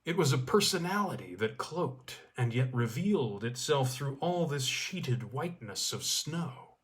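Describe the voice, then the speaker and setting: A man reading a literary story aloud as a storyteller, with deliberate phrasing and dramatic pauses. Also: medium pitch, very animated. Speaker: storyteller, audiobook reader.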